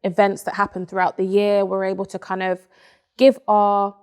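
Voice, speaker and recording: A young woman from Nigeria, an interview guest in a dark studio, speaking earnestly and with self-assurance on a lapel mic. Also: medium pitch, completely flat delivery, normal speed, clear, resonant tone. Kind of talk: casual interview chat. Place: dark studio set, indoors.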